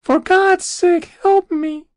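A man voicing a tiny, terrified moth-like human soul in a told story, in a thin, piping squeak. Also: steady tone, takes it slowly, no echo, studio quality. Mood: desperate pleading.

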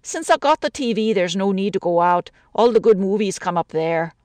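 A woman reading a story aloud, voicing a chatty, homely widow who misses the quiet of the country. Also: mid-range voice, expressive, moderate pace, dry recording, pitched above the performer's own voice, close to an everyday voice. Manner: chatty, homely, warm-hearted talk.

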